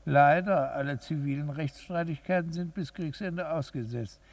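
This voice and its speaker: A man's voice: a little high, moderate pace, lightly acted. A man acting a placating, evasive lawyer in a 1950s radio drama, formal and courteous in an officious way. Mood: officious regret.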